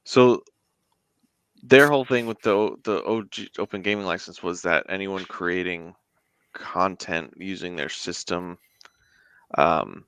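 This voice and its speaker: A man's voice: low voice, a little slow, long pauses, fairly bright tone, smooth, no echo. A man speaking as a guest in a casual interview, with a rambling, conversational delivery. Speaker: guest, indie tabletop game creator.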